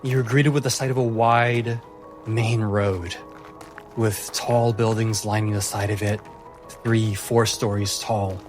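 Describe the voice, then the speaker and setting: A man narrating a tabletop fantasy game as game master, in deliberate, atmospheric phrases broken by pauses. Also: lowish voice, steady tone. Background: music.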